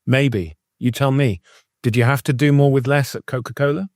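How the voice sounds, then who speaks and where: A man's voice: mid-range voice, fairly even intonation, normal speed, no echo. Give me a middle-aged man hosting an interview, chatty and enthusiastic, recorded dry and close. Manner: warm and chatty, filler-heavy, asks long questions. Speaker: interview host, former journalist.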